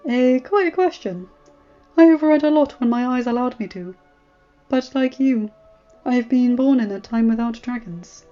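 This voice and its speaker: A woman voicing a kindly, wise, very elderly male maester in a fantasy story, speaking in a courtly, measured way. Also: on the high side, lightly acted. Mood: grave, curious. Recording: muffled audio with music.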